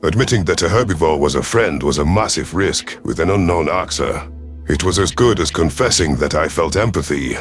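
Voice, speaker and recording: A man reading a dramatic science fiction story as a scripted narration, in a measured, serious manner. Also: deep voice. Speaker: audiobook narrator. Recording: music behind the voice.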